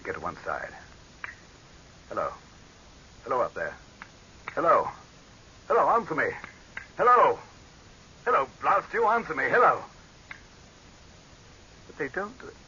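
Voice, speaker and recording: An actor playing a pompous, tyrannical dictator in 1930s radio horror drama style, haughty and imperious. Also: male voice, fairly high pitch, big pitch swings, measured pace, long pauses, pushed and bright, full character voice. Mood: imperious, impatient, then frantic, desperate. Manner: imperious, haughty, barking orders. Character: pompous tyrannical male dictator, commanding, scornful, cracking into panic. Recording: hissy, dull 1930s radio recording.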